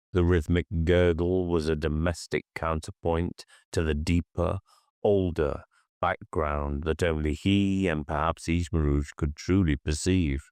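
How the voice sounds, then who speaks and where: Male audiobook narrator: very deep, unhurried, deliberate horror storytelling in a dry recording. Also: a little mellow, clean tone, no room sound. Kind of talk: scripted horror fiction narration.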